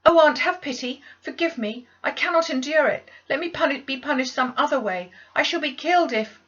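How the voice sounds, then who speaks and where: A woman's voice: high-pitched, lively intonation, brisk, fairly bright tone, muffled recording, pitched above the performer's own voice. A woman voicing a frightened young orphan girl who pleads urgently and desperately, in a storybook reading. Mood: desperate pleading.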